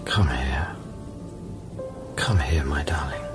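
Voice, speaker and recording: An actor playing a brooding, weary scientist, narrating his private thoughts in a measured, introspective way for an audio play. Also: a bass voice, over loud music. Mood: hushed, tender. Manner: measured, introspective, hushed at times. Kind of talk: dramatic first-person narration in an audio play.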